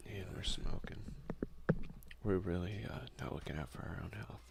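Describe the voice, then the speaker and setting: A man doing casual, hushed ASMR gaming commentary, soft-spoken and often slipping into a whisper, close to the mic. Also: deep voice, moderate pace, creaky, heavy background noise. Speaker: let's play ASMR video creator.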